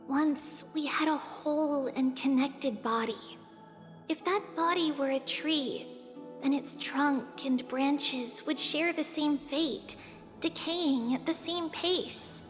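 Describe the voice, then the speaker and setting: A woman voicing a gentle, childlike girl in a video game who speaks softly and earnestly with wisdom beyond her years. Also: high-pitched, takes it slowly. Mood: solemn resignation. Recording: long reverb, faint music behind.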